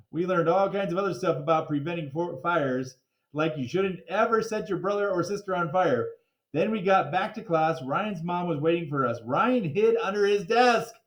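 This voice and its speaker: Very animated high-voiced man reading aloud. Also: reverberant, poor audio. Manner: playful, dramatic, chatty asides. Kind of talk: animated children's storybook read-aloud.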